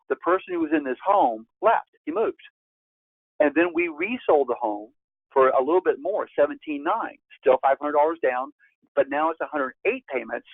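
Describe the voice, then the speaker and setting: Gravelly man on a tinny call line. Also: no echo. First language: Spanish. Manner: emphatic teacher, sudden upward pitch jumps on key points. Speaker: real estate investor presenting a course. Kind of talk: webinar presentation. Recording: tinny phone-like line, dry.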